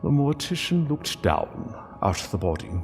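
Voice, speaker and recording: A man narrating a sombre story in a solemn, hushed and deliberate way. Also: mid-range voice, big pitch swings, in a large hall, soft background music.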